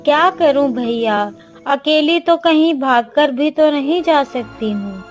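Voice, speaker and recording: A woman's voice: sits high, expressive, takes it slowly, faint music behind. A woman voicing a plaintive, self-pitying young wife in a cartoon tale. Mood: hesitant.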